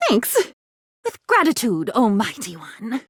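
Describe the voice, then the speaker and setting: A newly self-aware object come to life in a video game, speaks in a high, very animated voice. She is naive and devoted, with an earnest, quaintly formal delivery. The sound is close and dry. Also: takes it slowly, husky, dry recording, heavily acted. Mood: earnest, playful. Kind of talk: video game character acting.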